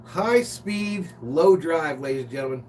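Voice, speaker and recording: A man's voice: fairly high pitch, animated, measured pace, a little mellow. An American man from Los Angeles hosting a live sports stream, chatting casually and chummily with viewers and reading out their comments. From Los Angeles, United States. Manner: informal, chummy, reads out chat comments. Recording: distant mic, noisy hum.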